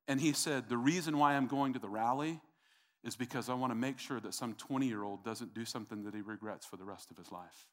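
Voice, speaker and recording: A man's voice: mid-range voice, brisk. A male pastor preaching a sermon in an earnest, repetitive way, speaking directly to his congregation. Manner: earnest, repeats himself, talks to the congregation.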